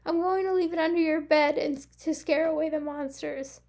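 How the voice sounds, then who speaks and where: A gentle police captain murmurs softly in a homemade storybook reading, put on by a woman narrator. The voice sits fairly high but carries a gravelly edge, hushed and protective. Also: fairly even intonation, fairly quick. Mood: soothing.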